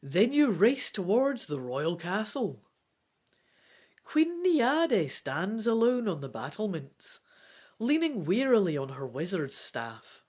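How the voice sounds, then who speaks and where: A woman narrates a fantasy adventure story with theatrical care. Her pace is slow and measured, and her pitch moves in lively, animated swings. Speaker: gamebook reader and player.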